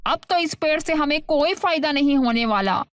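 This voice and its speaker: A woman's voice: sits high, lively intonation, normal speed, fairly bright tone, no echo. A woman voicing a greedy villager, an eager sidekick, in a cartoon story.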